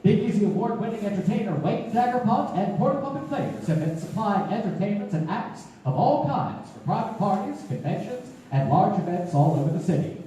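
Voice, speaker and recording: In an echoey theater, a woman announcer reads scripted promotions from a distance. She is polished and lively in pitch, with a mellow tone. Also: a little fast, hardly any pauses. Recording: echoey theater from far off, faint noise.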